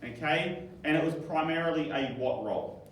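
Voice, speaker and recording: A man's voice: fairly high pitch, normal speed, slight background noise. A man teaching a history class, upbeat and jokey, quizzing his students. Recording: echoey room from far off.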